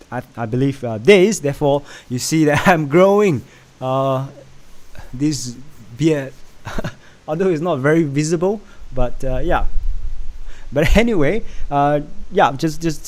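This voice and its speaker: A man hosts a casual livestream from home, talking through the news and answering chat. His voice sits a bit higher than most men's, and he rambles with lots of "uh"s, restarts and repeated words. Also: darkish tone. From Singapore. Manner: rambling, halting, many fillers and restarts. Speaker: livestream host reading chat. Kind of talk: casual live stream chat.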